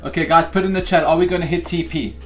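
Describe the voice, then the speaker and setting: A young South African man who hosts a live day-trading stream. He chats casually with his viewers, cheers his trades loudly and then drops into low, joking asides. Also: steady tone, fast, soft background music. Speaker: day-trading livestream host.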